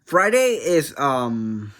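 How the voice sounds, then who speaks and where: An online creator chatting casually to viewers in a rambling, easily distracted way, energetic, giggly and self-deprecating. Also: mid-range voice, drawn-out words.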